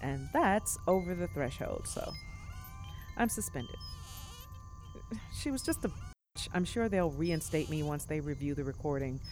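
An actress playing an anxious, sardonic gig worker in a naturalistic audio drama, with a hesitant, wry, expressive way of talking. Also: female voice, low voice, unhurried, long pauses, faint music behind. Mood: rattled, wry. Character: anxious, sardonic gig-worker woman, freshly suspended from her job.

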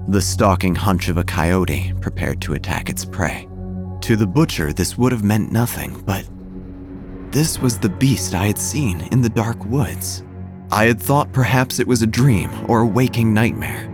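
A man acting a low-voiced, haunted obituary writer. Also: natural voice. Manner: solemn, literary, ominous. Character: haunted, melancholy male obituary writer narrating gothic horror. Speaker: narrator. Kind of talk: scripted horror audio drama narration.

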